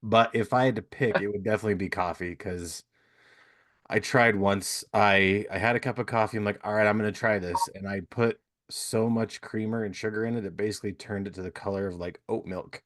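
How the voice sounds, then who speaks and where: Male podcast host, lowish voice, dry close sound, easygoing and supportive. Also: steady tone, brisk, fairly bright tone, no room sound. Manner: friendly, encouraging, laughs easily. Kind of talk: casual interview chat.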